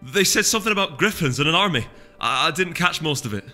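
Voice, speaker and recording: A man voicing an excitable, worried young female pony in a storybook reading, urgent and insistent. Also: on the high side, fast talker, pitched above the performer's own voice. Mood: anxious. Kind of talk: audiobook character voice. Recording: echoey hall reverb, music behind.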